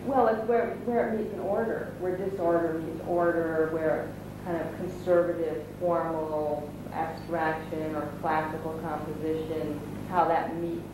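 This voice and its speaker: A woman's voice: low voice, steady tone, a little slow, hardly any pauses. A woman from Canada's West Coast, an artist thinking aloud in an interview, searching for words with many fillers and restarts. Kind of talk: reflective interview talk. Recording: echoey room, distant mic, noisy.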